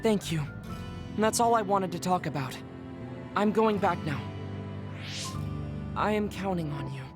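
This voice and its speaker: A man voicing a reserved young male soldier who hides a secret, speaking formally and softly. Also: high voice, lively intonation, unhurried. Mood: worried, formal. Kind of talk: game cutscene dialogue. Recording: game audio with music behind.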